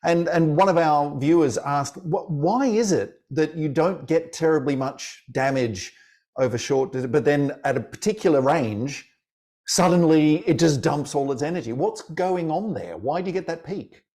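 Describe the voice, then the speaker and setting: A man's voice: on the high side, expressive, normal speed, a little mellow. A man hosting an online science talk, who thanks the speaker and reads out viewer questions. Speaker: webinar host. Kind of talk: moderating a question session.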